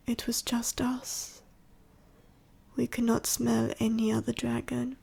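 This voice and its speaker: A woman playing an ancient, dying female dragon in an ASMR roleplay, telling a sorrowful life story slowly and haltingly in a breathy, raspy whisper. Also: a little high, a little mellow, full character voice. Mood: grieving, weary. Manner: halting, slow, solemn storytelling. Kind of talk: ASMR audio roleplay monologue to the listener.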